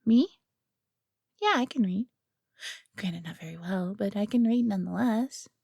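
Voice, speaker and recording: A woman's voice: medium pitch, a little slow, creaky, mellow, husky, dry recording, clean studio sound. A woman playing a friendly, nervous fox companion in a fantasy audio roleplay, speaking to the listener in a hesitant, chatty way. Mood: awkward, kind.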